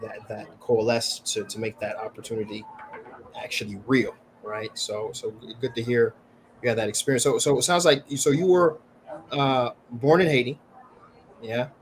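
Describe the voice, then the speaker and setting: A man hosts a relaxed online chat and asks his guest questions in a loose, encouraging way. He often repeats words as he thinks aloud. A light hiss of background noise sits under his voice. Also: mid-range voice, normal speed, rough recording. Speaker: host. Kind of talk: casual live chat interview.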